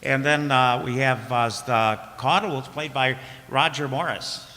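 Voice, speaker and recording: A man announcing closing credits for a radio play, informal and halting. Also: medium pitch. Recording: echoey hall, loud noise, audience sounds.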